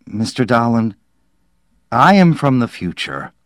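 A man's voice: mid-range voice, big pitch swings, unhurried, a bit bright, clean tone, no room sound, clean studio sound, voice pushed up. Hapless male time traveler, voiced by a male narrator: earnest, defensive, feebly pleading, audiobook style. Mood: feeble.

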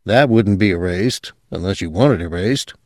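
A man's voice: low voice, lively intonation, normal speed, a little mellow, no echo, studio quality. A man voicing a matter-of-fact male memory technician in an audiobook, explaining things in a precise, analytical manner. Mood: measured, doubtful.

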